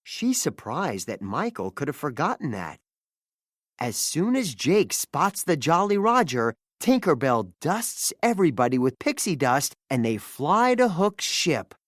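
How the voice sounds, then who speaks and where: An expressive children's storybook narrator with very lively, swooping intonation. The reading is unhurried and theatrical, in a close, dry recording. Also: mid-range voice, dry recording. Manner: expressive, acts out dialogue.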